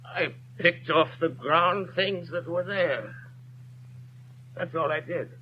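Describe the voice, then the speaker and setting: A stammering old peasant accused. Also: male voice, a little high, takes it slowly. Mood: pleading. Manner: stammering, pleading, simple. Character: poor bewildered peasant pruner, man, older. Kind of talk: 1930s radio drama acting. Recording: dull lo-fi 1930s radio broadcast, faint hiss.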